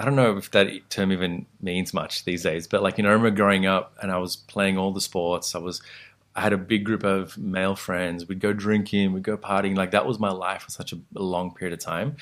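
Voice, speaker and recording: An Australian man with a low-pitched voice speaks as a podcast guest. His delivery is conversational and reflective, with frequent hesitations as he puts thoughts together. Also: a bit bright. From Sri Lanka until age six, then Australia. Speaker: podcast guest, meditation teacher.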